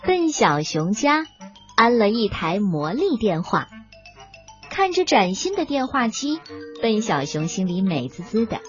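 Clear music plays behind a woman telling a children's story in a slightly muffled recording. Her voice is fairly high and swings widely in pitch as she narrates. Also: big pitch swings, a little slow. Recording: band-limited dull sound with music behind.

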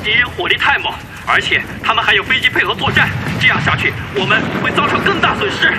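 An actor playing an embattled army officer reporting urgently from the front in a radio drama. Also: male voice, very high voice, very animated, quick pace. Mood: pressing, tense. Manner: urgent, pressing. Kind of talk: Chinese radio drama acting. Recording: loud battle noise behind voice. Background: noisy battlefield ambience.

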